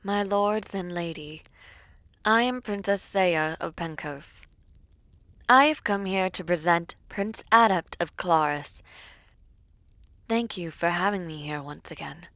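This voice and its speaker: A woman playing a composed, caring young princess in an audio drama, soft and earnest, often slipping into a breathy hush. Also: on the low side, slow pace, no room sound. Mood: formal, poised. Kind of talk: audio roleplay drama acting.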